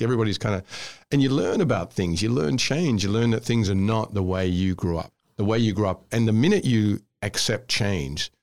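A middle-aged man from Scotland, raised in Australia, hosting an interview and talking reflectively with many "you know" fillers. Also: on the low side. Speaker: interview host. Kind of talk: interview conversation.

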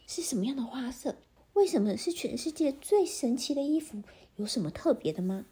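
A vain, short-tempered king from a children's story bellows and fusses in a raised, strained voice, as a woman acts him out. His voice is soft and airy underneath, with a mellow tone. He makes demands and picks fault with everything. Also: high-pitched, very animated, brisk, slightly rough, pitched above the performer's own voice, full character voice. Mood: fussy. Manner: shouting, fussy and demanding.

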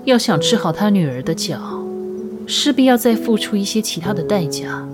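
A woman playing a composed, mysterious shop boss in an audio drama, speaking in a measured, formal and knowing way. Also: medium pitch, animated, natural voice. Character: composed, mysterious shop boss dealing in wish-granting apples. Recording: music under the voice.

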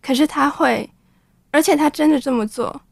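A woman plays a wounded teenage schoolgirl in a modern radio drama. Her voice is slightly high, and she sounds guarded about herself. The recording is dry, with no room around it. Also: normal speed, creaky, no echo. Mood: anguished. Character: guarded, wounded teenage schoolgirl who writes odd novels. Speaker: actress.

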